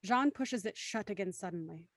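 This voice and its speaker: Female narrator, medium pitch, dry studio-like sound, scripted stage-direction storytelling, audio drama. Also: animated, normal speed, no room sound.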